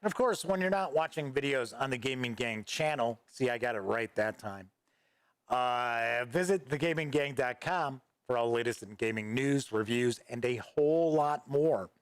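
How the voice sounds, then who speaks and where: A man's voice: mid-range voice, animated, normal speed, smooth, dry recording. A man hosting a gaming news livestream, rambling casually as he reads viewer chat aloud and answers it, close on a desk microphone. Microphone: studio microphone at a desk.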